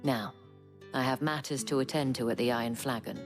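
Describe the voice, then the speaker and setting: Very deep-voiced, refined madame. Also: female voice, steady tone. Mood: businesslike, concerned. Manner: refined, teasing, deliberate. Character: poised, shrewd mature madame of a fantasy brothel. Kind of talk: video game cutscene acting. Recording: faint music behind the voice.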